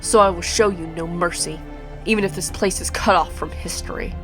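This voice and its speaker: A woman's voice: lowish voice, steady tone, a little fast, over music, close to an everyday voice. A woman reading the part of a mad, bitter male villain sorcerer in a grandiose, formal video game monologue. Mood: bitter, vengeful.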